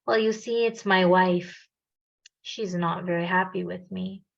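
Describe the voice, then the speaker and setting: A woman's voice: lowish voice, steady tone, measured pace, vocal fry. A woman voicing a grumpy male crocodile. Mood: glum. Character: grumpy male river crocodile, gruff and greedy. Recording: dull lo-fi recording.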